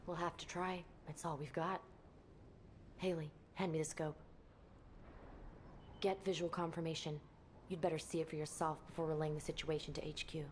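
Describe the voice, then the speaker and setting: A woman plays a hardened female officer in a video game, with a low voice, giving orders to her squad. A soft hum of ambience sits behind her. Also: little pitch movement, moderate pace, pauses often, natural voice. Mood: commanding.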